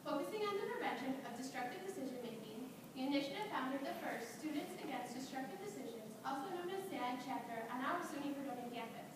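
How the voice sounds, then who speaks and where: A woman's voice: high-pitched, expressive, quick pace, hardly any pauses. A young woman from Rochester, New York, earnestly reciting a rehearsed student competition presentation. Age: young adult. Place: large auditorium. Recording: echoey hall, distant mic, noisy.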